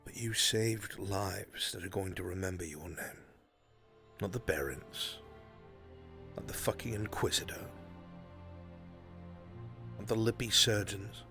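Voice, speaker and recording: A man playing a grave, fatherly older military commander in a fantasy roleplay, speaking in a measured, solemn, counselling way. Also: deep voice, vocal fry, pushed and bright, heavily acted. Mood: solemn, consoling. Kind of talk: live fantasy roleplay, improvised dramatic acting. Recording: music behind the voice.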